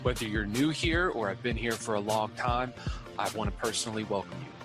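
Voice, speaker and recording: A man's voice: on the low side, fairly quick. A man delivering a scripted podcast promo as its host, fluent, orderly and welcoming. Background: music bed.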